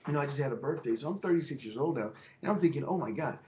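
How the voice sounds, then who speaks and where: A man's voice: mid-range voice, animated, very fast, a little hiss or hum. A man in his thirties, a minister, talking earnestly and reflectively about his own life, rambling with restarts and fillers. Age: 30s. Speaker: minister and vlogger. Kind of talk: reflective personal monologue. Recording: slightly dull lo-fi audio.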